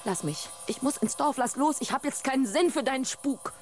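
Woman as an anxious high-voiced village wife. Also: fairly quick, soft background music, lightly acted. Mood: urgent distress. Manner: imploring, dramatic. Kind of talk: German radio play acting.

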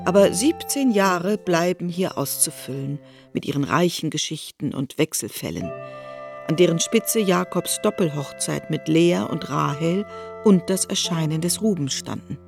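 Eine Frau erzählt ruhig und gleichmäßig einen literarischen Text in einem Hörspiel. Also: female voice, fairly low pitch, expressive, normal speed, creaky, over music. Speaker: narrator. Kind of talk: literary narration in a radio play.

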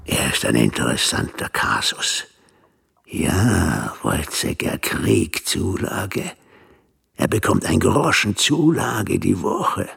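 An actor playing a pompous, coldly gleeful doctor in a radio play, husky and declamatory, with clinical Latin-laden talk. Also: male voice, low-pitched, very animated, slow pace, a bit bright, full character voice. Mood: smug fascination. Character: pompous, gleefully cold male doctor obsessed with his theories. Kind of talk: German-language radio play acting.

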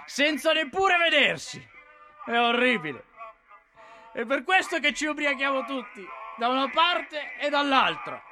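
A hard-drinking career officer in a radio drama, bitter and philosophical. His voice is high and swings widely as he declaims his grievances, with clear music behind him. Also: exaggerated voice. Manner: declamatory, rhetorical, emphatic. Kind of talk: theatrical radio drama monologue.

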